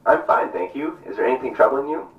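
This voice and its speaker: A man's voice: mid-range voice, fast talker, close to an everyday voice. A man playing a kindly, earnest missionary doctor in a puppet show, speaking politely. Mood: grateful, gentle. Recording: echoey distant dull stage recording with music.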